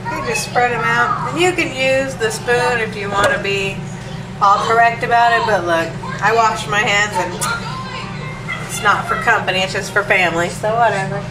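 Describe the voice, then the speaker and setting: A woman's voice: medium pitch, normal speed. A woman with a stuffed-up nose from allergies, casually talking through a home recipe in her kitchen with chatty ums and asides. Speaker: home cook showing a recipe. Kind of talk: casual cooking demo. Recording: echoey room, distant mic, hiss.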